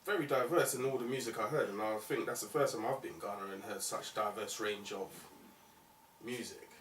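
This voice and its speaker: A man's voice: lowish voice, fairly quick, fairly bright tone. A man hosting a conversational music interview, speaking in a reflective, opinionated way. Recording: roomy, distant mic, faint noise.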